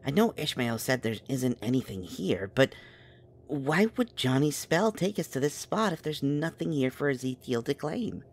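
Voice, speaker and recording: A man's voice: mid-range voice, big pitch swings, moderate pace. A man playing a scholarly, cautious young magic expert, polite, wordy and a little exasperated, in an urban fantasy audio drama. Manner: wordy, polite, stammers when nervous.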